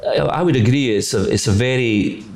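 A middle-aged Scottish man, a further education college leader, who answers interview questions in a measured, careful, policy-minded way. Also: mid-range voice, gravelly, darkish tone. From Greenock, Scotland.

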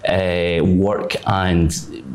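A Scottish man who leads a further education college, talking in a debate in a measured, formal way with some restarts. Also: low voice, little pitch movement, a little mellow. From Scotland. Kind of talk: political debate discussion.

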